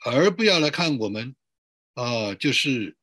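A male pastor giving a sermon in an emphatic way, building up repeated lists and rhetorical questions, with a husky voice. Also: mid-range voice, very animated, a little slow, bright, forceful tone, no room sound. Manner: emphatic, repetitive listing.

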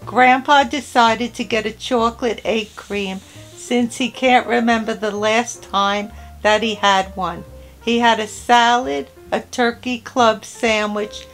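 An older woman hosting a cooking and travel vlog, narrating in a careful, gentle scripted voice-over. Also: on the high side. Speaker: channel host.

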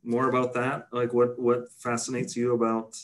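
A man's voice: mid-range voice, monotone, normal speed, slight background noise. Middle-aged man hosting a video call. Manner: friendly, filler-heavy. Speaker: host. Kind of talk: virtual interview hosting.

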